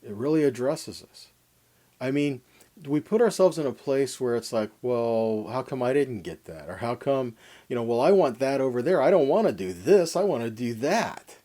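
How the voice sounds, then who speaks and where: Male Bible teacher, mid-pitched, lively intonation, soft-edged tone, emphatic scripture reading, rhetorical questions, sermon delivery. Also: normal speed. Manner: emphatic, dramatic stresses, rhetorical questions to listeners. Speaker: Bible teacher giving a weekly Torah portion lesson.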